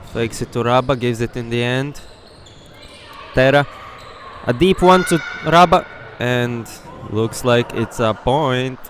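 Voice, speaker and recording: A man with a Latvian accent whose first language is Latvian, giving excitable, openly partisan live play-by-play commentary. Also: medium pitch, a little hiss or hum. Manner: excitable, openly partisan, bursts into shouts on big plays. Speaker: sports commentator. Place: indoor sports hall.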